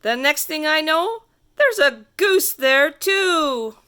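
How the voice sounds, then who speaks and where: A woman reading a rhyming picture book aloud to children in a theatrical, sing-song way with drawn-out emphasis. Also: sits high, expressive, bright, forceful tone. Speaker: storybook reader.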